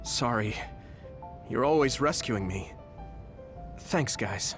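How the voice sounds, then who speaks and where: A man's voice: medium pitch, animated, slow, fairly bright tone, soft background music. An actor playing an earnest, bewildered young male soldier hero in a video game, polite and searching. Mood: apologetic, earnest. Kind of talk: scripted video game cutscene acting.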